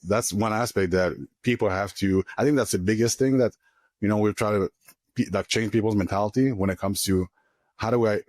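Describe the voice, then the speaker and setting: Man explaining, low voice. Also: no echo. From Quebec, Canada. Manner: earnest, loose grammar, many fillers like "you know". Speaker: financial coach, podcast guest. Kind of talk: casual interview talk.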